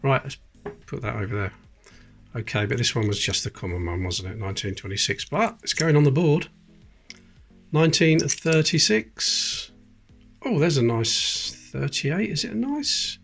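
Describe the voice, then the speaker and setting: A British man hosting a coin collecting video, chatting casually and reading out dates as he sorts through coins at a table. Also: mid-range voice. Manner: chatty, reads out dates as he goes. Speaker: coin collecting channel host. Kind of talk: casual commentary while sorting coins. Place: indoors at a table. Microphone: close camera, hands-only framing.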